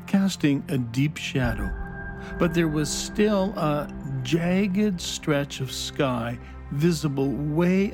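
A man narrating a scripted children's adventure story in a measured storybook delivery. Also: medium pitch.

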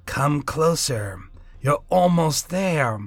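Icy seductive water spirit played by a man: low hushed whispers, slow coaxing, sinister sweetness, music beneath. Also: lively intonation, no echo. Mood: coaxing, eerie. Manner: slow, luring, falsely tender.

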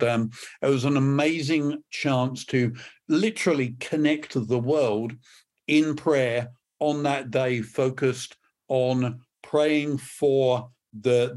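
An English man, a podcast guest, speaking in a measured way with frequent ums and restarts. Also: medium pitch, darkish tone. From England. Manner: measured, many fillers and restarts. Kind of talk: podcast interview.

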